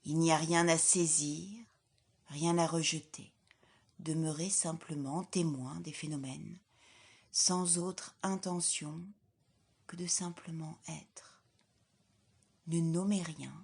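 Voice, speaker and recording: A woman leading a guided yoga nidra relaxation as an instructor, in a calm, soothing, measured way with soft, breathy phrase endings. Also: low-pitched. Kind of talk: guided relaxation meditation, scripted.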